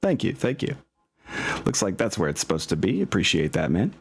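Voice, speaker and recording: A man with a deep voice acts as game master in casual chat. He talks informally, with slangy turns of phrase and an apologetic streak, as he gets things set up. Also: wildly swooping pitch, brisk, vocal fry, a little hiss or hum. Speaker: game master and host of a tabletop campaign. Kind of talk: casual chat while setting up an online game. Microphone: online voice chat.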